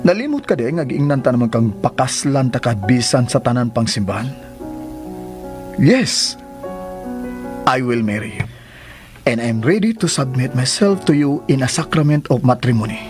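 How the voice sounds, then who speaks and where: An actor as an earnest Filipino suitor over music. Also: male voice, mid-range voice, lively intonation, normal speed, long pauses, natural voice. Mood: solemn, devoted. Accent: Filipino, Cebuano. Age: middle-aged. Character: earnest, strict-looking middle-aged suitor proposing marriage. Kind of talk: Philippine radio drama acting. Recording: music bed behind radio drama.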